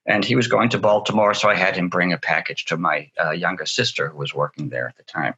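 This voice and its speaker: A man being interviewed as a translator, talking in a relaxed, chatty way, often saying "you know" and laughing easily. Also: low-pitched, quick pace, slightly rough, lo-fi sound.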